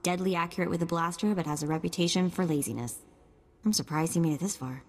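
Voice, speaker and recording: A bounty hunter's wry companion in a video game. She is conversational and inquisitive, a little low in pitch, heard in a reverberant space. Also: fast talker. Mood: curious, informative. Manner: conversational, informative. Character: curious, wry female sidekick of a bounty hunter.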